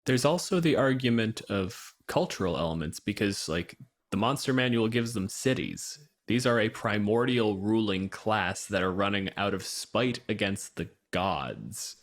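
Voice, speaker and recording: A man's voice: on the low side, animated, unhurried. A man in a casual, analytical discussion of game lore, speaking in a wry, opinionated way as a podcast co-host.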